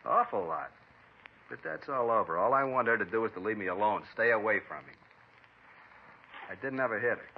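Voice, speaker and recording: A man's voice: lowish voice, lively intonation, fairly quick, pauses often, slight background noise. An actor playing a defensive, self-pitying ex-con man being questioned, in a 1950s radio drama. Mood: pleading. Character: defensive ex-con man, middle-aged, sullen and evasive. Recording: dull old radio broadcast.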